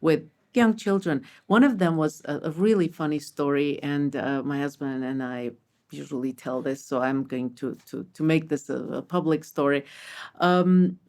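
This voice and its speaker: A woman's voice: low voice, normal speed. A middle-aged woman from Armenia, a repat parent, chatting casually in a panel and telling stories with many restarts. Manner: animated storytelling with many restarts.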